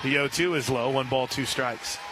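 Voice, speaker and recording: A man calling live softball play-by-play as a radio announcer, reading sponsor lines between pitches. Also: moderate pace, crowd noise, background noise. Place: outdoor ballpark during a live game. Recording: loud outdoor stadium ambience. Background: loud stadium ambience.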